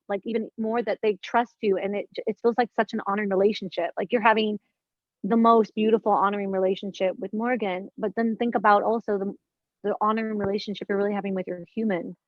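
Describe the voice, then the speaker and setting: A woman's voice: medium pitch, steady tone, fairly quick, no room sound. Earnest fifty-year-old woman, muffled dry recording. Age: 50s. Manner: earnest, searching, circling back on her words. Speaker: guest. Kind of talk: casual spiritual conversation.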